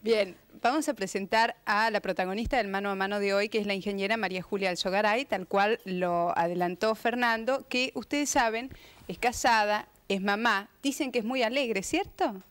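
A woman co-hosting a TV program, introducing a guest and moderating a panel in brisk, lively fashion. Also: medium pitch, clear, resonant tone. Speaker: TV co-host. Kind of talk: TV studio moderating. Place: TV studio.

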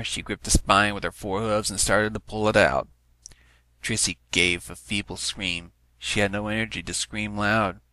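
A man's voice: lowish voice, sharp tone, no room sound, poor audio. A man narrating a written story, reading it aloud as scripted prose.

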